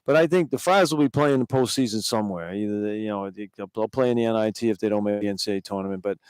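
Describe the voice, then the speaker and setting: A man's voice: lowish voice, quick pace, a little mellow, smooth, no echo. An older man, a former player working as a radio color analyst, giving plainspoken, casual post-game analysis and often repeating words as he goes.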